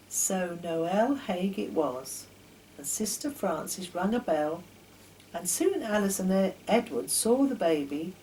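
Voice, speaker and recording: An older woman reading a children's story aloud in an expressive, deliberate storytelling manner. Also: medium pitch, a little hiss or hum, low-quality recording. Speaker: author reading her own children's stories. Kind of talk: storybook narration.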